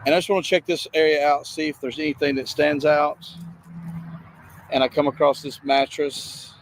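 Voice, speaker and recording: A man narrating casually on location as a video streamer, speaking haltingly with pauses between phrases. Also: on the high side, flat delivery. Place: outdoors by a road and woods. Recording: outdoor noise, distant freeway. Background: freeway and ambient outdoor noise.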